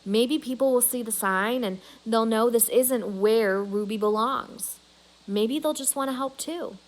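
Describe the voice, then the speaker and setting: A woman voicing an earnest, caring young girl who gently insists, in a children's novel read aloud. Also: a little high, a little slow, lightly acted, poor audio. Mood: earnest, hopeful.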